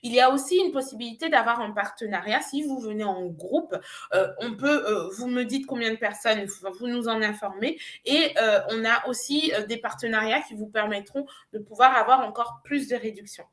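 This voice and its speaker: Earnest Nigerian-born woman coach, higher voice. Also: normal speed, fairly bright tone. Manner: earnest, persuasive, with frequent hesitations. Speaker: business coach. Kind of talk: promotional pitch on a live show.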